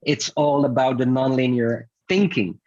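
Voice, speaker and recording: A man's voice: mid-range voice, moderate pace, darkish tone, dull audio. A man from the Netherlands, a guest on a casual video call chat, talking in an upbeat, enthusiastic way.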